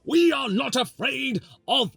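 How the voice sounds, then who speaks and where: A man puts on a self-important male sports official. He is confrontational and pompous, making deliberate, defiant statements with big swings of pitch in a dry, close recording. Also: lively intonation, vocal fry, fairly bright tone, breathy edge, no room sound, faint music behind, exaggerated voice.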